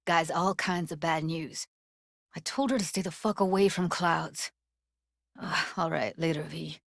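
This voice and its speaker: A woman's voice: low-pitched, unhurried, creaky, dry recording, clean studio sound, exaggerated voice. A woman voicing a streetwise, guarded young techie in a video game, blunt and slangy, with an American accent. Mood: guarded, sardonic. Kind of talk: naturalistic video game dialogue.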